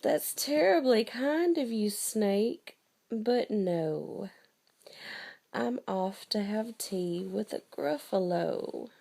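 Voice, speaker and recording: A woman's voice: mid-range voice, expressive, extremely slow, creaky, mellow, heavily acted. A woman voicing a polite, clever little brown mouse in a bedtime story, courteous and matter-of-fact.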